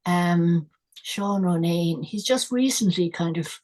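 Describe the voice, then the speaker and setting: An older Irish woman, a guest environmentalist, talking in a casual, thoughtful way with many pauses and restarts. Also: fairly low pitch, a little mellow. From Ireland. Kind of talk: casual conversational interview.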